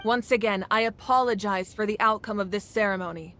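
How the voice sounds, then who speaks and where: A woman voicing a composed, authoritative female ruler in a video game, speaking with measured calm. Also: medium pitch, faint music behind, lightly acted. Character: commanding yet gentle female ruler of a nation. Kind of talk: game voice acting.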